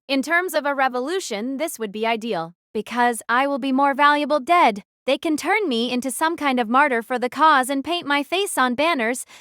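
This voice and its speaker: A woman's voice: sits high, a bit bright, no echo, studio quality. A woman playing a defiant, brooding teenage girl who narrates her own story in an audio drama. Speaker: narrator and lead actress.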